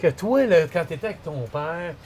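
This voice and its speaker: A middle-aged man with a Quebec French accent, chatting casually as a podcast host in an excitable, colloquial, exclamatory and scattered way. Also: a little high, normal speed. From Quebec, Canada. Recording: clearly noisy background ambience. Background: ambient noise.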